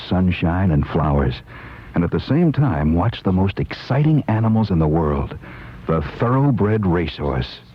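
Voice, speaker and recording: Very deep, husky American male announcer. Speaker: commercial announcer. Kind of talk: scripted radio advertisement narration. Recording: dull lo-fi 1970s AM radio.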